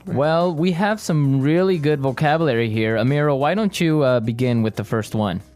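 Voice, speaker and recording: Man co-host of a language lesson, clear slow explanations, faint music behind. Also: on the high side, a little mellow. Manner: explains slowly and clearly, repeats phrases. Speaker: co-host of an English lesson podcast. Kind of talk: scripted teaching chat.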